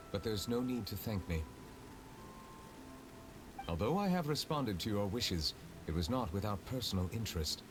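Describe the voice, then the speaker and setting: Deep, formal male chief justice, played by a man. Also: noisy background, natural voice. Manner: measured and formal. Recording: game music behind.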